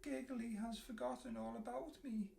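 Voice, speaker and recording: Man putting on a high, plaintive little monster. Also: fairly even intonation, moderate pace, gravelly, dark tone, exaggerated voice. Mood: sad, sniffly. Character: sensitive, tearful, huggy little male monster. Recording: roomy echo, faint noise.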